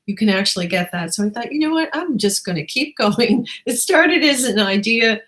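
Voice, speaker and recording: A woman's voice: on the high side, lively intonation, moderate pace, a bit bright. A woman from Newfoundland hosting an online interview show in a conversational style.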